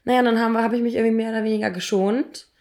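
Woman in her 30s, kinda high voice but hoarse, chatty slangy storytelling. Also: steady tone, rapid-fire, darkish tone. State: hoarse, ill. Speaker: podcast co-host. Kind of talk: casual personal storytelling.

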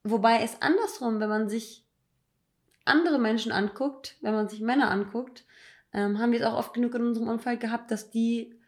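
A woman with a slightly higher voice talks things through in a casual chat. She reflects out loud and stresses her points with emphatic, slangy phrasing. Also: moderate pace.

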